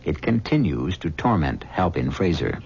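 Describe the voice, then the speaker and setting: A man hosting a 1970s radio drama, narrating between acts in a measured, wry way. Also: low-pitched, sing-song, gravelly, heavy background noise. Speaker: host. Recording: dull 1970s radio broadcast with music.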